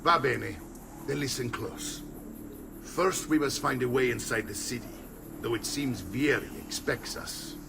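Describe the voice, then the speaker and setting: An actor playing a proud, middle-aged mercenary uncle and mentor with an Italian accent in a video game cutscene. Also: male voice, mid-range voice, a little slow, creaky, noisy background. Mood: commanding. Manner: theatrical, commanding, instructive. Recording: music behind the voice.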